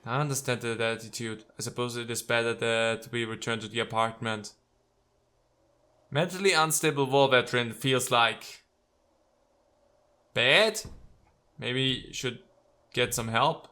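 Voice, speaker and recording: Bright-voiced man reading a game aloud. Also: medium pitch, unhurried, long pauses. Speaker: let's player. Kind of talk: reading visual novel text aloud with casual commentary.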